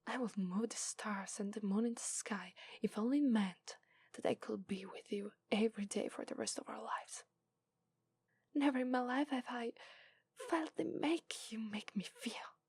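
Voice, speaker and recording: A woman with an Italian accent voicing a gentle, lovestruck female fox spirit in a soft, whispered ASMR roleplay. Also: a little high, normal speed, husky. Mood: tender whisper.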